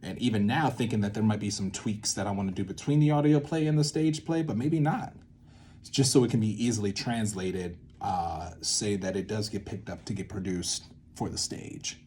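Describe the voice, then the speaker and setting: A young man explaining in a casual, halting way, thinking aloud and often repeating and checking himself. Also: fairly low pitch, mellow. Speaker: creator teaching a creative method.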